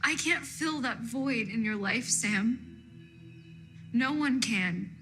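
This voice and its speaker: A woman's voice: a little high, slow pace, over music. A woman acting as a blunt, weary woman in a screen drama. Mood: weary, firm.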